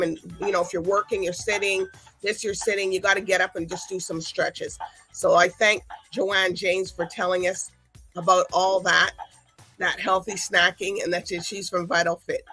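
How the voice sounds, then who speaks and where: A woman hosts a talk show with a bright, forceful voice, rambling casually from thought to thought. She speaks over faint background music. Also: medium pitch. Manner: rambling, loose and chatty. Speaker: show host.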